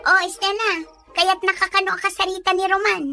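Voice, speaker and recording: A woman playing a brisk, helpful young friend in an audio drama, with a prodding, animated manner. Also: very high-pitched, creaky, faint music behind, heavily acted. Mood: helpful, urging. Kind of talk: Ilocano audio drama acting.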